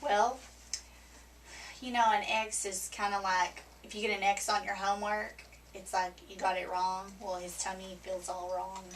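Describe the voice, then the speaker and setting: A woman counselor with a scratchy, hoarse voice, explaining things gently and patiently to a client in a counseling room. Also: medium pitch, level tone, normal speed. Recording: distant mic with faint background noise.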